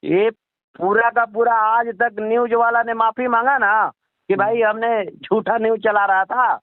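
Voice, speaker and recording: High-pitched indignant man on muffled phone line. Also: level tone, a little slow, no room sound. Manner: indignant, repetitive, rhetorical questioning. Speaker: political activist being interviewed. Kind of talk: heated political tirade in a phone interview. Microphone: phone call. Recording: muffled lo-fi phone call recording.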